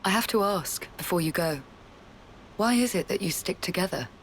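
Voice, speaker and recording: A woman playing a resolute, composed military commander in a video game cutscene, speaking in a measured, formal and self-assured way. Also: lowish voice, expressive, slight background noise, lightly acted. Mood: earnest, reflective. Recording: loud music behind the voice. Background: game soundtrack music.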